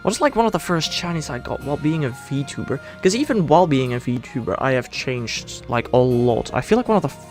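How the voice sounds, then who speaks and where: A man's voice: medium pitch, big pitch swings, fairly quick, hardly any pauses, soft background music. A man from the Netherlands with a Dutch accent, chatting casually on a live stream and rambling in a self-deprecating way. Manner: rambling, self-deprecating, thinks aloud with restarts.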